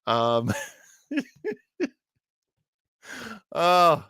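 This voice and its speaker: An American man hosting a casual livestream from his home studio, talking in a halting, earnest, self-deprecating way. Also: on the high side, big pitch swings, pushed and bright, clean tone, no room sound. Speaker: live show host.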